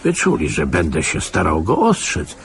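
A man's voice: sits low, wildly swooping pitch, moderate pace, gravelly, background noise, heavily acted. A man playing a world-weary, cynical old retired security officer in a radio play, sardonic and patronising. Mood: wry. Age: older. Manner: sardonic, patronising, chuckling asides. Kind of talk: Polish radio play acting.

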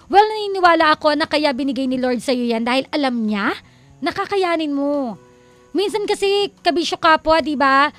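A woman's voice: high voice, expressive, normal speed, fairly bright tone, soft background music. A woman with a Filipino accent co-hosting a radio advice show, half preaching and half teasing, quoting scripture and laughing often. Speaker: radio co-host. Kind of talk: playful radio advice talk. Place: radio studio.

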